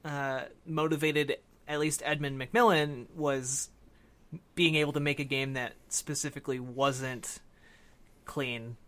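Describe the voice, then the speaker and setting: A man's voice: mid-range voice, steady tone, unhurried, a bit bright. A man in casual conversation as a podcast co-host, explaining things informally and a little tentatively. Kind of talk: casual discussion of games.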